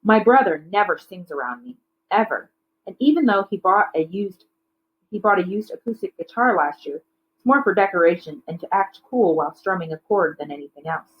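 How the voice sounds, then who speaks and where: A woman's voice: lowish voice, lively intonation, brisk, highs cut off. A woman narrating a children's novel aloud like a teacher, occasionally stumbling over words and correcting herself. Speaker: teacher reading a book aloud.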